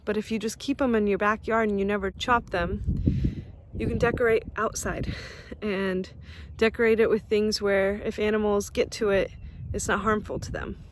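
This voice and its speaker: A woman's voice: mid-range voice, little pitch movement, moderate pace, background noise. A young woman from Russia, whose first language is Russian, chatting casually to her viewers while walking outdoors on a campus and laughing often. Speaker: vlogger. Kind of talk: casual vlog walk-and-talk.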